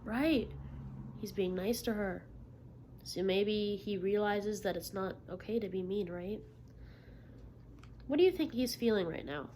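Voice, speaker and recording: Expressive woman reading a storybook aloud, background noise. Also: medium pitch, a little slow, long pauses. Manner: encouraging, checks understanding with frequent questions. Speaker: teacher reading a picture book aloud to children. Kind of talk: interactive storybook read-aloud with questions to the listeners.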